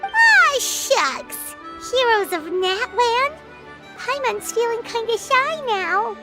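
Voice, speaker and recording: A tiny, cheerful fairy girl from a video game. The voice is extremely high, squeaky and childlike, swooping up and down with excitable energy, over clear background music. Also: takes it slowly, full character voice. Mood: bashful. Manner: speaks of self in third person.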